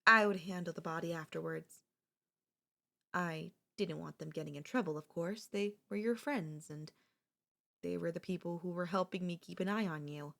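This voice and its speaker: A young woman voicing an obsessive, possessive childhood friend in an ASMR roleplay, talking softly with a sweet, menacing calm and slipping into whispers. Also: lowish voice, normal speed, vocal fry. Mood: calmly chilling.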